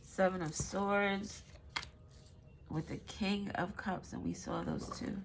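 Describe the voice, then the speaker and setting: A woman's voice: medium pitch, fairly even intonation, takes it slowly, vocal fry, background noise. A woman with a stuffy nose giving a casual, rambling tarot reading, swearing now and then. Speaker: tarot reader.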